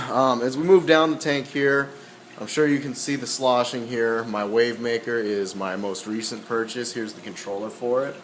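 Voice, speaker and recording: A man walking through his aquarium equipment in a casual, halting way, with many ums and frequent throat clearing, his voice slightly hoarse. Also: mid-range voice, fairly even intonation, roomy sound, a little hiss or hum. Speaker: aquarium hobbyist.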